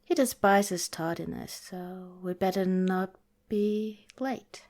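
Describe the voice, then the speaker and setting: Lady doing vampire gf asmr, lowish soft voice, half whispery, talks real slow, serious and protective. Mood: earnest, solemn. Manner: soft, deliberate, breathy whispering in places. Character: protective female vampire girlfriend, earnest and cautioning. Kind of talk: ASMR roleplay, partly whispered.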